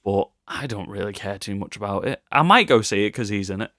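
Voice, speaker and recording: A man with a British accent and a fairly deep voice co-hosts a film news podcast. He talks informally and slips in playful remarks. The sound is very dry, with no room to it. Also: lively intonation, brisk, a bit bright, no room sound. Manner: joking, playful asides. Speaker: podcast co-host. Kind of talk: casual film news chat.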